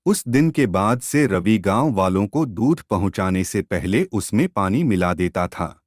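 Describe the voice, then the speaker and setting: Slow, low-voiced male storyteller. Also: darkish tone, dry recording, studio quality. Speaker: storyteller, narrator. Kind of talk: scripted moral story narration.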